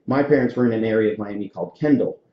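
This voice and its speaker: A man's voice: lowish voice, racing. A man in his forties from Florida, hosting a casual podcast interview and telling stories with frequent fillers. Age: 40s. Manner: friendly, storytelling, frequent fillers. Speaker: podcast host. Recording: echoey room, dull lo-fi sound.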